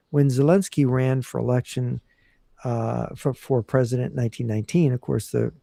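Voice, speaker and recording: Podcast guest, male, gravelly and mellow, measured explainer, dry sound. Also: medium pitch, no echo. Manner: measured, explanatory, many fillers and restarts. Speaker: guest analyst. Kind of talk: casual podcast interview.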